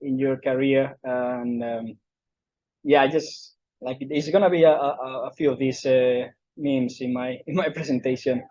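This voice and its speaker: A man's voice: medium pitch, fairly even intonation, unhurried, darkish tone, no echo, muffled recording. A middle-aged man from southern Spain with a Spanish accent, giving a conference talk with many fillers and restarts. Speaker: invited speaker. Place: lecture room.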